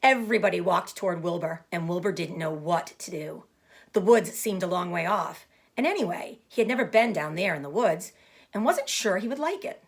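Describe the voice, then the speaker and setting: A woman giving an expressive storybook read-aloud for children, pausing to explain things simply. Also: on the low side. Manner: explanatory, addressing young listeners. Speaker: storybook reader.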